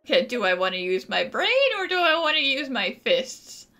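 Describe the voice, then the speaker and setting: A woman's voice: fairly high pitch, big pitch swings, normal speed, bright, forceful tone. A woman gaming streamer giving casual, playful, joking commentary as she plays. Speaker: game streamer.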